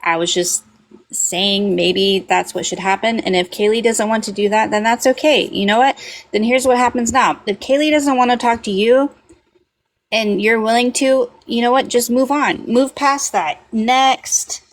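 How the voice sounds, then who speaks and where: A woman's voice: fairly high pitch, steady tone, moderate pace. A woman on a live stream, earnestly explaining herself and talking to the chat. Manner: earnest, reasoning, talks to chat.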